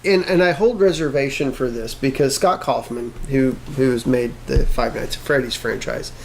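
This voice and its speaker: A man's voice: medium pitch, normal speed, gravelly. A man co-hosting a casual talk show, giving his opinions conversationally. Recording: noticeable background noise.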